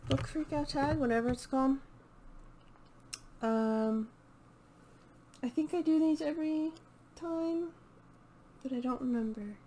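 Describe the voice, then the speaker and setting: A woman's voice: sits high, a little slow, long pauses, warm tone. A woman chatting casually and unscripted as the host of a video channel, hesitant and thinking aloud as she goes. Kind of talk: casual unscripted book chat to camera.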